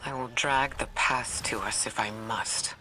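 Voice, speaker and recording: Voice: mid-range voice, fairly even intonation, measured pace, background noise, close to an everyday voice. An actor playing a serious, resolute commander in a game, terse and determined. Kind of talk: scripted game cutscene dialogue.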